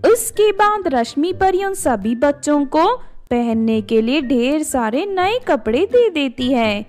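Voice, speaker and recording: A woman's voice: on the high side, a little mellow. A woman narrator reading a scripted children's fairy tale.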